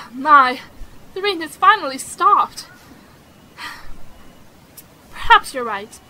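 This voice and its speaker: A woman's voice: sits high, lively intonation, extremely slow, sharp tone, heavily acted, poor audio. A woman acting a candid, outspoken, headstrong young girl in a period romantic audio drama, with a theatrical, emphatic manner. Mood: relieved.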